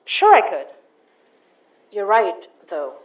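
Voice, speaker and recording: A woman's voice: mid-range voice, wildly swooping pitch, slow pace, recorded from a distance, dull audio. An actress playing Mari, a dry, matter-of-fact female investigator in an audio drama. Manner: dry, sardonic.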